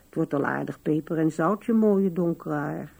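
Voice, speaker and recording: An older woman acting in a radio drama as a musing, wistful old widow with a gravelly, husky voice. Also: low voice, moderate pace, warm tone, full character voice, poor audio. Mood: gentle, musing.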